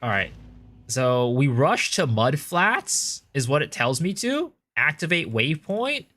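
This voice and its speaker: A young American man giving casual gameplay commentary as a livestreamer, chatting loosely and thanking viewers with big excited bursts. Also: a little high, takes it slowly.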